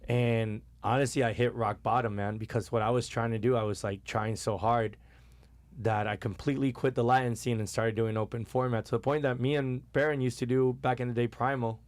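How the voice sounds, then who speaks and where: Man from Puerto Rico, DJ guest, smooth clear voice, dry close sound, relaxed storytelling. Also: medium pitch, no room sound. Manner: relaxed storytelling, many fillers. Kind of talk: casual podcast chat.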